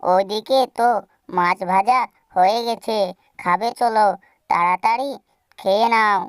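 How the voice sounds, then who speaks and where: A woman voicing a caring, indulgent mother bird in a family cartoon, with a gentle manner. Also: medium pitch, unhurried, clear, resonant tone, far from the mic, lightly acted. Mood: busy, motherly. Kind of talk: scripted cartoon voice acting.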